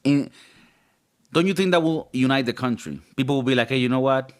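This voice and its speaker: A man with a raspy voice talking as a podcast co-host in a rambling, joking, casually profane way. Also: medium pitch, brisk.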